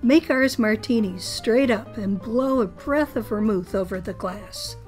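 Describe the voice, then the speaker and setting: An outspoken grandmother acted by a woman with a fairly high voice, emphatic and bossy. It is a family radio play with music faintly behind. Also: measured pace, a little mellow, natural voice. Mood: dry. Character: outspoken, fussy grandmother visiting for Christmas.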